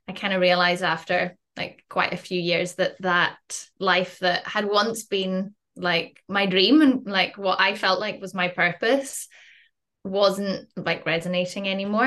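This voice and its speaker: A woman's voice: fairly low pitch, a bit bright. A woman with a Scottish accent, a coach guest speaking casually with many fillers. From Western Isles, Scotland. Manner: chatty, many fillers like "um" and "kind of". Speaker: guest, life purpose coach. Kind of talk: casual interview chat.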